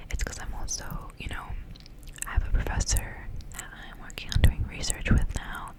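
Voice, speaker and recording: A young woman speaks in a breathy, unvoiced whisper. Her delivery is soft and informal, in the manner of an ASMR creator chatting casually to the listener. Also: moderate pace. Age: young adult. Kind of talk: ASMR intro and casual life update.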